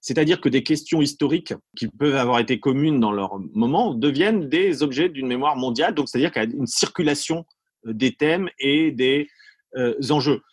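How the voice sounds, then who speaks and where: A male academic comments off the cuff in a mid-range, rough-edged voice. He often stops to repeat a word or add a tag as he reasons. The sound is dry, with little room in it. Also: medium pitch, fairly quick, no room sound. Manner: hesitant, repeating words, tagging phrases with "hein". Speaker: academic historian, interview guest. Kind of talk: unscripted analytical commentary.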